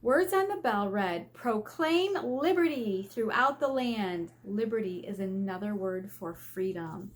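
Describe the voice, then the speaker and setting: A woman teacher reading aloud to children in an expressive way, asking questions and drawing out key words for emphasis. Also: mid-range voice, a little slow, few pauses. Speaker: elementary school teacher. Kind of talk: read-aloud lesson for children. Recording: faint background noise.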